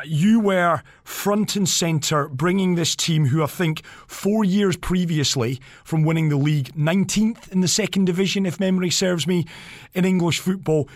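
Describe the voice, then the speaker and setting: 30s bloke hosting a podcast, fairly high voice, chatty questions, dry close sound. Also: male voice, dry recording. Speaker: podcast host, interviewer. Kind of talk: casual conversational interviewing.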